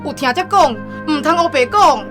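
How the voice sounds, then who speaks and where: A woman's voice: high voice, animated, moderate pace. An actress playing a worried mother who complains to a doctor about her sons, in a radio drama. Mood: complaining. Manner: complaining, insistent. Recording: music playing under the voice.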